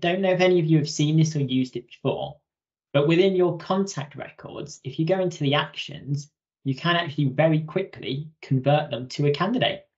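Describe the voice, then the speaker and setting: A young woman giving an informal software tutorial as a webinar trainer. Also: deep voice. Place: indoors on a video call. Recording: dull video call audio.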